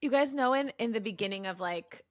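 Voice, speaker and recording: Female podcast co-host, upper register, expressive melody. Casual, jokey, laughing interjections. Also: a little high, brisk. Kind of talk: casual comic banter.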